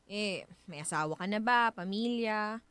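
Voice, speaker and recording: A woman's voice: mid-range voice, a little slow, a bit bright, clean tone, dry recording, natural voice. A woman acting as a curious, teasing married woman with a Filipino accent in a radio drama. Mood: friendly, curious. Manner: teasing, inquisitive, chatty. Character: curious, playful, prying married woman hosting a guest.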